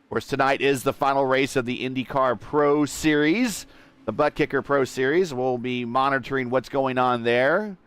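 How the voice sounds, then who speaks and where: Male lead commentator, online racing broadcast, mid-pitched steady play-by-play that lifts at green flags. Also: normal speed, smooth. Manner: steady play-by-play, rising loud and high at restarts.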